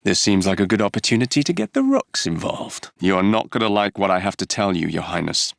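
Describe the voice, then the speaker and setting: A man's voice: sits low, sing-song, a little fast, vocal fry, a bit bright, no room sound, clean studio sound, full character voice. A young man with an English accent playing a cocky, roguish Victorian gang leader in a video game, wry and sardonic. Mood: sardonic, determined. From England. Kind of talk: scripted game character lines.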